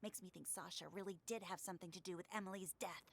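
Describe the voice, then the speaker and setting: A woman's voice: fairly low pitch, steady tone, fast talker, creaky. A woman playing a scheming, ambitious and gossipy young actress in a radio drama, wheedling and conspiratorial. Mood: suspicious. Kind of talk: interactive radio drama acting.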